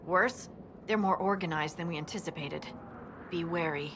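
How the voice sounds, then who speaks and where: An actress playing a dutiful military scout officer in a video game, giving a formal, sober briefing. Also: female voice, on the low side, moderate pace, background noise, lightly acted.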